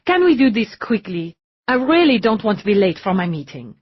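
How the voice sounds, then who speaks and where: A woman voicing a brisk, dramatic young female editorial assistant with a French accent, indignant and quick to flare. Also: mid-range voice, big pitch swings, husky, no room sound, highs cut off. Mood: impatient.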